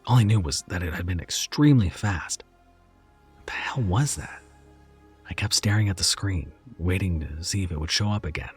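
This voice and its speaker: Very deep, husky male horror narrator. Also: animated, normal speed, soft background music. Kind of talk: scripted first-person horror narration.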